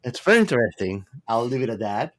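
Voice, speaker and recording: Informal man chatting, medium-pitched voice. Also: sing-song, fast, a bit bright. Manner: informal, slangy. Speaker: podcast co-host. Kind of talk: casual chat.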